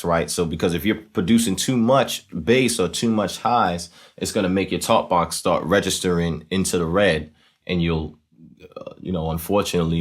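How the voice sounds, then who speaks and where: Male tutorial host, very low register, casual explainer delivery, conversational with frequent check-ins. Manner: conversational, often checking in with "right?". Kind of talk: casual instructional demonstration.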